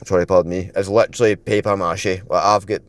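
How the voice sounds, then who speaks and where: A young man with a lowish voice chats to camera about his fitness routine. He rambles casually and leans on filler words, and his throat sounds dry and a little croaky. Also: fairly even intonation, fast talker, no echo. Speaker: fitness vlogger. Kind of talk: casual vlog talk to camera.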